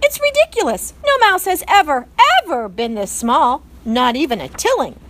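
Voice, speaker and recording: A woman's voice: a little high, sing-song, a little slow, pitched above the performer's own voice. A woman voicing a fussy, scornful aunt mouse who speaks in an indignant, emphatic way.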